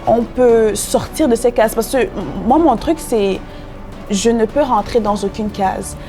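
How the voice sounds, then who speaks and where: A woman's voice: on the high side, measured pace, warm tone, faint music behind. A young woman from Cameroon, a singer-songwriter guest, talking reflectively in a casual interview with many fillers and restarts. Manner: reflective, frequent fillers and restarts, laughs easily.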